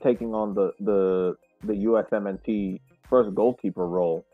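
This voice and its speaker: A man hosting a casual soccer podcast discussion, speaking haltingly and repeating words as he reflects. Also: lowish voice, steady tone, soft background music. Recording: muffled, roomy sound.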